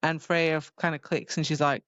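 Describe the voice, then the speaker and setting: A woman's voice: low voice, little pitch movement, normal speed, a bit bright, no echo. A woman co-hosting a podcast in casual chat about a book, on a slightly muffled recording. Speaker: podcast co-host. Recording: dull, muffled close recording.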